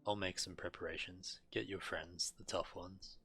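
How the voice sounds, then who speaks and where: Gruff, resolute game warrior: very low pitch, bright forceful edge, terse dramatic lines. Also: male voice, flat delivery, moderate pace, faint music behind, exaggerated voice. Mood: determined. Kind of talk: video game character dialogue.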